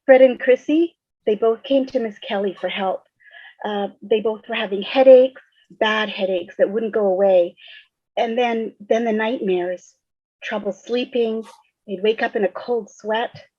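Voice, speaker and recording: A woman's voice: fairly high pitch, measured pace, dark tone, no room sound. Woman playing a frightened teenage girl, muffled sound. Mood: grim, fearful. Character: frightened teenage girl facing a deadly curse. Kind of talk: dramatic table read over video call. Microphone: video call. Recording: muffled video call audio.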